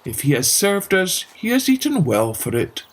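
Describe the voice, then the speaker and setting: A woman voicing a blunt, commanding male farmer in a children's fairytale, with a gruff, drawn-out delivery. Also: fairly low pitch, big pitch swings. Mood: dismissive.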